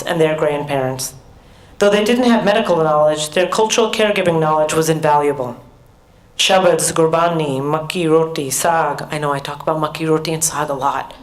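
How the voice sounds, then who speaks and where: A middle-aged American woman giving an earnest, measured personal talk as a conference speaker, with small restarts. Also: deep voice. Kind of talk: personal talk at a research summit. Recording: echoey hall.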